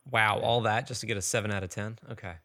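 A man co-hosting a game review podcast, animated and blunt with his opinions. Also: lowish voice, fast. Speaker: podcast co-host. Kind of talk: casual game review chat.

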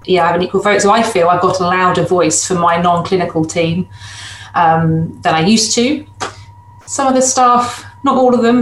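Female practice manager on a conference panel; measured, hesitant, slightly low pitch, reverberant room. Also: a little hiss or hum. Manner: hesitant, many ums, measured. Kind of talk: panel discussion.

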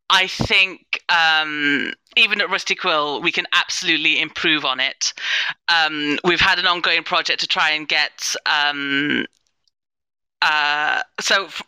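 A woman's voice: lowish voice, sharp tone, smooth, no echo, poor audio. A woman speaking earnestly and thoughtfully as a panelist in a live discussion, often qualifying what she says. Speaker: panelist, head of inclusion at an audio drama company.

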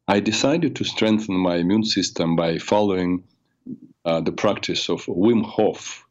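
Older guy radio host, raspy from a cold, real low voice, kinda muffled audio, rambles a lot. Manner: warm, rambling, personal storytelling with many fillers. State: has a cold. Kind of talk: solo talk show monologue.

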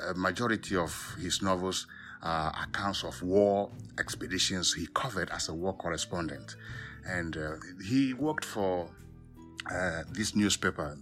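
A man from Ghana and Togo with a West African accent, speaking as a professor in a formal, measured academic talk with self-corrections. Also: low-pitched, sharp tone. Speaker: professor of African literature, podcast guest.

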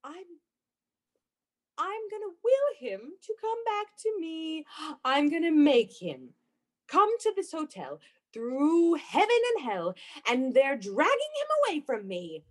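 A woman playing a lovesick, obsessive jilted young woman in a melodramatic radio play, halting and pleading. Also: high voice, sing-song, exaggerated voice. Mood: obsessive, fervent.

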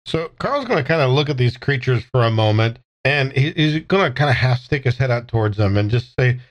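A man's voice: mid-range voice, animated, a little fast, highs cut off. A man playing a tabletop role-playing game, improvising his character's actions and words in a casual, offhand way.

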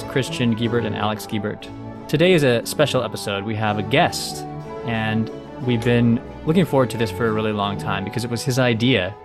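A man hosting a podcast, welcoming a guest in a friendly way and asking short questions. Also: lowish voice. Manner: friendly, brief affirmations. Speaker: podcast host.

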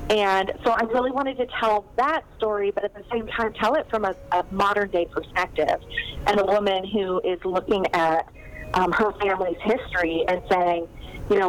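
A woman author talking casually in an interview, chatty and animated, with plenty of fillers and laughs. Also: mid-range voice, low-quality recording. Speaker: guest author. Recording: faint background noise.

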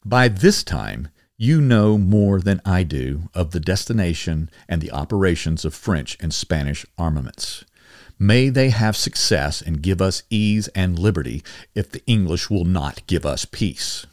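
A man reading historical letters aloud in a formal, measured, oratorical manner, as a podcast host would. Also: low voice, animated, darkish tone, no room sound, clean studio sound. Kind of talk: formal scripted reading of 18th-century letters.

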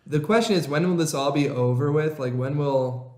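A young man co-hosting a podcast in casual chat, rambling and excitable, laughing often. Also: a little high, normal speed. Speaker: podcast co-host. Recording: roomy echo.

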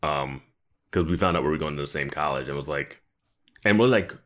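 A man's voice: very deep voice, brisk, fairly bright tone. A young man co-hosting a casual podcast, joking around and laughing often while chatting about a show. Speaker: podcast co-host. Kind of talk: casual chat.

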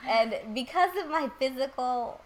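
A woman's voice: sits high, unhurried, slight background noise, poor audio. A woman in her late twenties chatting casually as a podcast co-host, giggly and self-deprecating. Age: 20s. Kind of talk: casual group chat.

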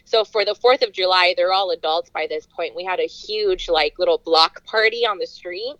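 A young woman from California, a podcast guest, telling childhood stories in a lively way and laughing often. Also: on the low side, normal speed, no echo, poor audio. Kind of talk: casual chat.

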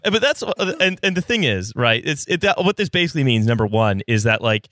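A man co-hosting a casual podcast, explaining a topic in halting sentences full of restarts. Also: mid-range voice, big pitch swings, a bit bright, no room sound, studio quality. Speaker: podcast co-host explaining the news.

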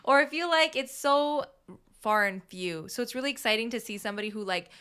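A woman's voice: fairly high pitch, animated, a little fast, pushed and bright, clean tone. A young woman co-hosting a podcast, chatty and laughing often in casual conversation. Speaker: podcast co-host. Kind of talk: casual chat.

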